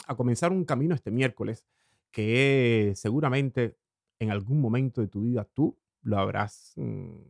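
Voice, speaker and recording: Man hosting a slow morning radio show. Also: medium pitch, creaky, a little mellow, no echo, studio quality. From Cuba. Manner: slow, drawn-out phrasing with hesitant fillers. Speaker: radio host. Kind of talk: warm morning radio monologue.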